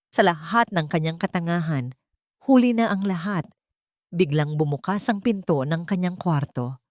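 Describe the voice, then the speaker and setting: Low-pitched woman narrating a dramatic audiobook. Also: darkish tone, no room sound, studio quality. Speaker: storyteller.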